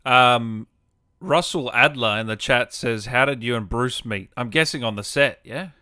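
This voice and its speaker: A man with a crisp, very bright voice at a middling pitch. He works as an interviewer on a livestream, chatting informally and putting questions to his guest. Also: unhurried. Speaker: host, interviewer.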